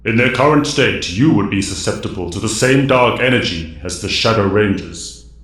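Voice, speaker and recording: An actor playing a grave, wise mentor of heroes in an audio drama. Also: male voice, on the low side, expressive, normal speed, a bit bright. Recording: echoing, roomy sound.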